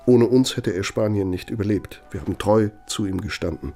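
An actor playing a pompous, bitter older former Nazi functionary dictating in a German radio play, sardonic and domineering. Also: male voice, lowish voice, brisk, vocal fry, warm tone, soft background music. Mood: bitter, reproachful.

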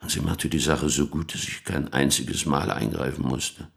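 An actor playing a smug, condescending lawyer with a gravelly voice in a dramatic audio play monologue. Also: male voice, rumbling low, steady tone, normal speed, fairly bright tone, full character voice. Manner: condescending, self-satisfied. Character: smug, vain male lawyer with a convertible.

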